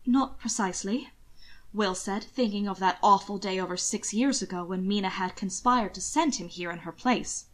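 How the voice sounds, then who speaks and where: A woman's voice: mid-range voice, normal speed, hardly any pauses, fairly bright tone. A woman reading scripted fiction aloud as the narrator of an amateur audiobook.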